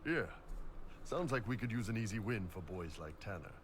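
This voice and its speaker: Man playing a very deep, gruff warrior. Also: expressive, normal speed, noisy background, lightly acted. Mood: steady, reassuring. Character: gruff, supportive male warrior companion. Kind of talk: video game character acting.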